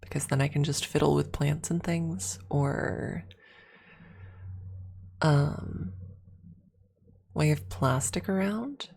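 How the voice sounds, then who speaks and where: A woman with a low, husky, creaky voice hosts a casual ASMR livestream. She speaks softly and very slowly, often close to a whisper. Her manner is rambling and gently playful, right up at the microphone. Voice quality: soft, breathy, often near a whisper. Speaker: ASMR streamer, host.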